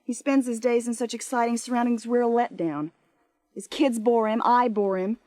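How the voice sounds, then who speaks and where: A woman's voice: on the high side, fairly even intonation, moderate pace, mellow, dry recording, close to an everyday voice. Tipsy, cynical young American wife, played by a woman. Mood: tipsy, bitter. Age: 20s. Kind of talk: naturalistic radio drama acting.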